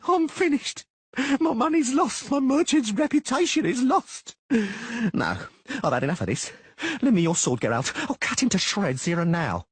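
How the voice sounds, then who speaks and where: A man's voice: fairly high pitch, wildly swooping pitch, fairly quick, husky, no echo, pitched above the performer's own voice, heavily acted. A man voicing a hot-tempered male halfling merchant who blusters in outrage. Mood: despairing.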